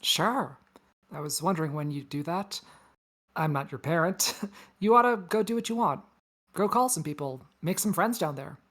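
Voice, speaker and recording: A woman's voice: sits low, lively intonation, moderate pace, fairly bright tone. A woman playing a wry, teasing big-sister space probe in a casual sci-fi table read. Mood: breezy, friendly. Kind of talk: table read of a science fiction story.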